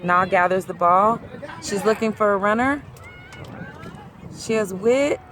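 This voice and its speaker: A woman doing play-by-play of a youth soccer game outdoors, hoarse and losing her voice. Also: mid-range voice. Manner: rapid play-by-play, rising excitedly at key plays. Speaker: amateur sideline commentator. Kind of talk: play-by-play commentary. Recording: outdoor crowd and traffic noise.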